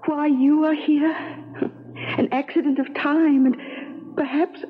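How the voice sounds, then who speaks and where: A woman's voice: high-pitched, little pitch movement, slow. A woman playing a doomed, mournful ghost bride from the eighteenth century in a radio drama, with formal, old-fashioned phrasing. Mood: wistful. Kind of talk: 1970s radio drama acting. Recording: dull 1970s radio broadcast.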